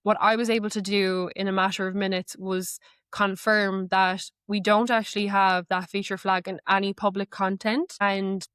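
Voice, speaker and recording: A woman gives explanatory answers as an interview guest. Her voice is smooth and clear at a middle pitch, with fairly even intonation, recorded dry. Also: dry recording. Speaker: guest, AI knowledge manager.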